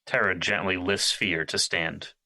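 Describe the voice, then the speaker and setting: A male narrator reads scripted stage directions. His voice is deep, with a very bright, forceful edge. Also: steady tone, a little slow.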